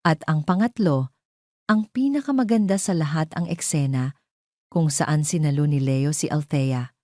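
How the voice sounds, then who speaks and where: A woman's voice: low voice, moderate pace, darkish tone, no echo, studio quality. A woman with a Filipino accent narrating a scripted dramatic story.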